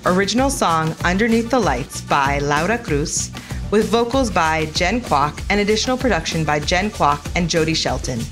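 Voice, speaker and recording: A woman's voice: lowish voice, lively intonation, moderate pace. A woman announcer reading scripted podcast credits. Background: music.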